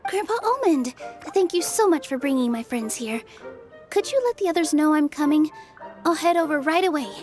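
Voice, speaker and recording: Young fairy dancer in a game, really high sweet voice, polite n earnest, soft music behind. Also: female voice, lively intonation, normal speed. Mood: warm, polite. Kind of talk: video game voice acting.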